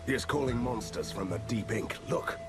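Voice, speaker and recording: A man playing a stern, resolute monster hunter in a video game, dryly witty, deadpan and dramatic. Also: lowish voice, normal speed, music in the background, lightly acted. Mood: dry, serious. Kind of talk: video game hero acting.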